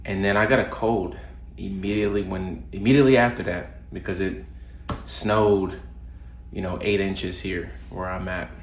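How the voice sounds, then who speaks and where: A man's voice: low voice, moderate pace, slight background noise. A young man, a little congested from a cold, giving casual fitness advice to camera with plenty of ums and restarts. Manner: relaxed, loose with fillers and restarts. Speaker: fitness vlogger. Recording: roomy home recording, faint ambience.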